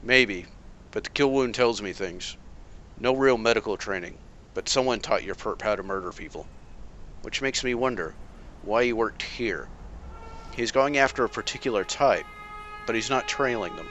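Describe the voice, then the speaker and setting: An actor playing a calm, analytical masked vigilante detective with a dry, measured delivery. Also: male voice, lowish voice, muffled recording, slight background noise, natural voice. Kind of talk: amateur motion comic voice acting.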